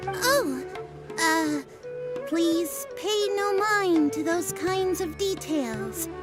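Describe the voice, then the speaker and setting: A woman acting a haughty oracle who speaks for the gods in a video game, with theatrical, halting delivery. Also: extremely high pitch, animated, exaggerated voice. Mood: solemn, halting. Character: haughty female oracle voicing the gods, grandly insistent. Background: game music.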